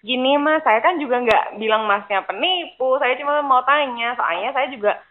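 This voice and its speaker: A woman's voice: high-pitched, animated, moderate pace. A woman in a casual phone conversation, asking probing questions in a matter-of-fact way. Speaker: wife questioning a phone caller. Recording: thin, narrowband phone line.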